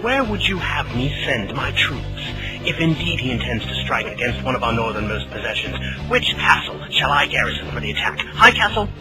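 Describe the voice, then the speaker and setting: A man's voice: mid-range voice, sing-song, moderate pace, loud music behind the voice, voice pushed up, natural voice, low-quality recording. A man playing an angry, imperious prince in a fan fantasy radio play. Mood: angry, demanding.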